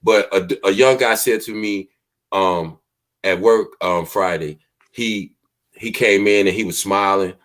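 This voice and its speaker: Man, deep voice, loud projecting delivery, emphatic casual podcast talk. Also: a little slow. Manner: emphatic, projects his voice loudly, preachy street talk. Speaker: podcast host.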